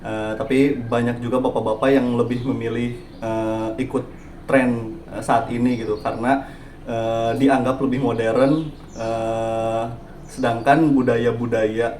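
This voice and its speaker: Young man, twenties, hesitant, many fillers, flat monotone, roomy echo. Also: medium pitch, measured pace, a little hiss or hum. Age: 20s. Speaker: interviewee, entrepreneur. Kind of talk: casual spoken answers.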